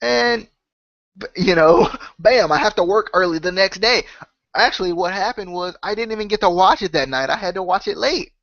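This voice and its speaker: A man with a head cold talks casually to his podcast listeners in a nasal, blocked-up voice that sits slightly high for a man. He rambles, circling back with fillers and restarts. The sound is dry and a little muffled, like a cheap home mic. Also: moderate pace, vocal fry, a bit bright, no room sound. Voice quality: nasal, congested. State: stuffed up with a cold. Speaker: podcast host. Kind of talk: casual solo chat to subscribers.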